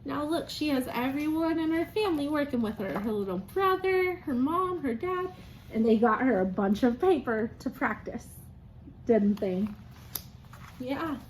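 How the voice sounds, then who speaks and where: A woman reads aloud to young children as a teacher, in a sing-song, expressive way, pausing to prompt answers from her listeners. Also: sits high, slight background noise, poor audio.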